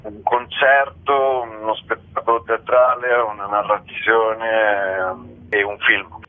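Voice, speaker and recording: Low-voiced man on a tinny phone line. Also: flat delivery. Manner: reflective, halting, repeats phrases. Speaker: guest theatre actor and author. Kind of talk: spoken radio interview.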